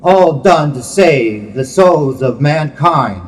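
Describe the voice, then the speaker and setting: A man giving a solemn, reverent religious poetry reading in a measured, declamatory manner. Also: a little high, animated, slight background noise. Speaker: poet reading own work. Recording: long hall-like reverb.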